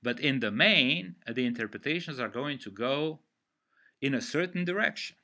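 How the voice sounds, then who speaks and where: An older man from Romania with a Romanian accent, giving an online literature lecture over a headset on a video call from home, in a measured, deliberate way. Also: mid-range voice, lively intonation, sharp tone. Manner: measured, deliberate explaining with pauses. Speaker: literature teacher. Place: home room.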